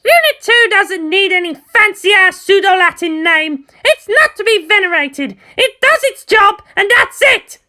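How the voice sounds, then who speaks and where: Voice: extremely high pitch, expressive, unhurried, hardly any pauses, pushed and bright, pitched above the performer's own voice, exaggerated voice. A performer voicing a brash, taunting teenage girl mech pilot in a storybook reading, combative and boastful. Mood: boastful, fierce.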